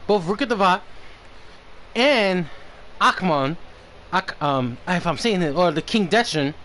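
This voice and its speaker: A man doing casual livestream commentary on a game, halting as he stumbles over names and repeats words. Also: high voice, lively intonation, a bit bright. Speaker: game streamer. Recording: slight background noise on stream.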